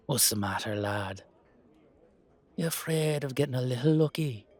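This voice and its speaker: A man's voice: mid-range voice, lively intonation, moderate pace, lightly acted. A man voicing a cheeky, centuries-old leprechaun with an Irish accent in a roleplay audio drama, blunt, roguish and teasing.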